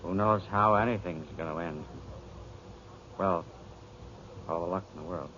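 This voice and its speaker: An actor playing a downcast, resigned estranged husband in 1940s radio acting style. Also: male voice, sits low, unhurried. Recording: muffled, noisy 1940s radio broadcast.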